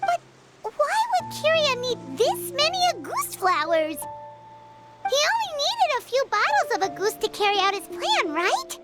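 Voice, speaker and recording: Super high squeaky little fairy, voice jumps all over, game music behind. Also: female voice, huge pitch swings, measured pace, heavily acted. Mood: puzzled. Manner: refers to herself in third person. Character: tiny floating female fairy companion, chirpy and curious. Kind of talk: dubbed video game dialogue.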